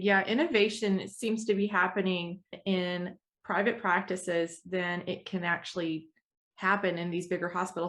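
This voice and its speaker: A woman hosting an interview, asking eager questions with open enthusiasm. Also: lowish voice. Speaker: podcast host.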